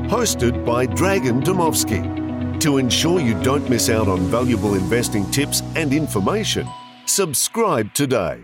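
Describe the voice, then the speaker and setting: A man reading a scripted promo in a polished sales delivery. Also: medium pitch. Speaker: ad announcer. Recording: voice over a music bed.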